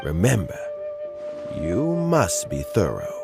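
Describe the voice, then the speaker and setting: Older statesman, lowish voice, widely swinging intonation, formal, deliberate, game dialogue. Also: wildly swooping pitch, creaky, music in the background, exaggerated voice. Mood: grave, fair. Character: dignified older male official, fair and stern. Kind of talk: video game voice acting.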